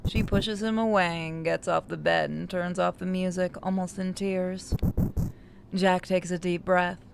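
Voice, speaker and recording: A woman's voice: lowish voice, little pitch movement, measured pace, creaky, noisy background. A woman narrating a visual novel as she plays through it. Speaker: game narrator and player.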